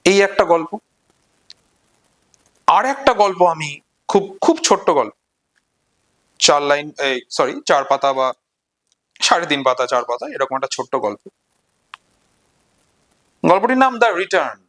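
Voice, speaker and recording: A man with a Bengali accent presenting literature, retelling and explaining stories in a deliberate way with pauses and repeated phrases for emphasis. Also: on the high side, very animated, a bit bright. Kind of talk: explanatory storytelling and literary commentary.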